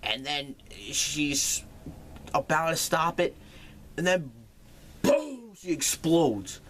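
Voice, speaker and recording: A man hosting his own comedic movie review show, retelling a plot with crude, animated energy and swearing. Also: a little high, slow pace, a little hiss or hum. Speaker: film reviewer, show host.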